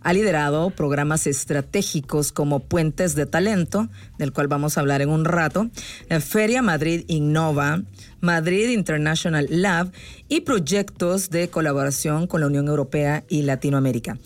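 A woman's voice: low-pitched, normal speed. A woman hosting a conversational podcast interview. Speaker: podcast host.

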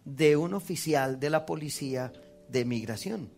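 A Colombian man with a somewhat high voice, preaching as a priest with a Colombian Spanish accent. He tells his testimony like a story, acting out the other people's lines and laughing at himself. Faint music plays behind him. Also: measured pace, gravelly, a little mellow.

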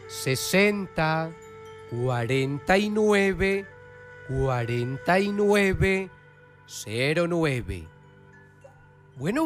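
Male radio healer-host, notably high voice, preachy promotional delivery, music underneath. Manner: preaching, slow repeated recital of phone numbers. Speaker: spiritual healer hosting a radio program.

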